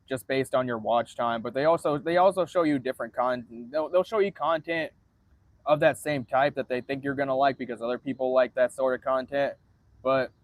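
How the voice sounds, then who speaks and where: Male livestreamer, average pitch, monotone delivery, halting with repeated phrase starts, casual and sweary. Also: no room sound. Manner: frequent swearing. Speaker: livestreamer answering viewer chat.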